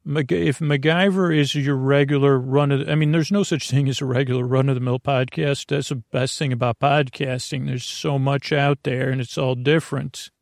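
A man with a creaky voice hosting a sleep podcast, meandering through a soothing, hesitant bedtime monologue and often interrupting himself. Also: medium pitch, steady tone, normal speed, hardly any pauses, no room sound, clean studio sound. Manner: lulling, soothing.

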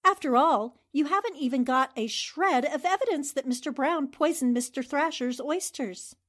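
A woman playing a sharp, persistent but polite newspaper reporter in an old-time radio drama, articulate and questioning. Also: sits high, normal speed. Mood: challenging.

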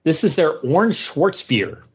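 A man's voice: fairly high pitch, sing-song, a little slow, slightly rough. Lo-fi podcast man, rambling and conversational. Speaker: podcast co-host. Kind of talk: casual chat, drink review. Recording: dull lo-fi recording.

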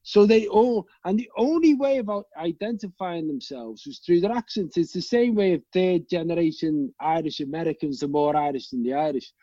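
A man with a Liverpool accent, a guest telling animated, rambling stories and swearing freely. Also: fairly high pitch, moderate pace, hardly any pauses, dry recording, highs cut off. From England. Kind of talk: casual storytelling chat.

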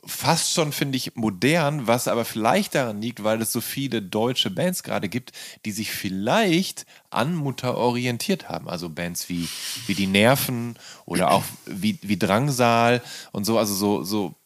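A man's voice: lowish voice, lively intonation, a little slow, hardly any pauses, no room sound, clean studio sound. A man asking questions and offering thoughts as the interviewer in a casual conversation.

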